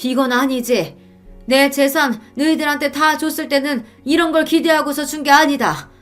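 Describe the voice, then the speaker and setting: Indignant older mother played by a female narrator, high, pleading, reproachful, for radio-story drama over faint music. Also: fairly even intonation, normal speed, a bit bright. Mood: hurt, indignant. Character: wronged, indignant elderly mother-in-law.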